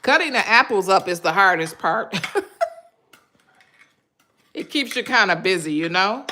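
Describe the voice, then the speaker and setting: A woman from Michigan hosting a casual live stream from her home kitchen, with a loud voice, rambling and chatty, full of ums as she talks straight to her viewers through a phone camera. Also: mid-range voice, lively intonation, measured pace, slight background noise. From Michigan, USA.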